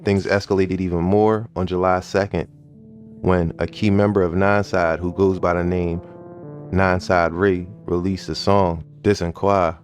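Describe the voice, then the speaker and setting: A man reading scripted documentary narration in a measured, matter-of-fact manner. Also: low voice, level tone. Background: faint background music.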